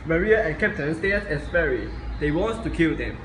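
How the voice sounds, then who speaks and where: A man narrating an amateur skit in a plain, steady reading voice. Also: fairly high pitch, normal speed. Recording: echoey room, noisy.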